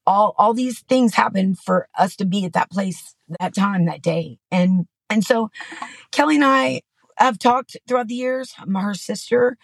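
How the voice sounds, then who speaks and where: A woman's voice: mid-range voice, a little slow, creaky, no echo, poor audio. Middle-aged woman, podcast guest, candid rambling storyteller, dry close recording. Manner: candid, rambling, frequent "you know" and restarts. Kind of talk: personal story in conversation. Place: Texas.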